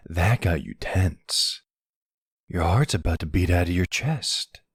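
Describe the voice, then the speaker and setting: A man performing as a predatory, teasing male vampire in an ASMR roleplay. His voice is very deep and husky, drawled slowly and seductively. It sounds smug and intimate. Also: animated, no room sound, studio quality, heavily acted. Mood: menacing, possessive. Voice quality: low, gravelly, husky. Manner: slow, taunting, seductive drawl.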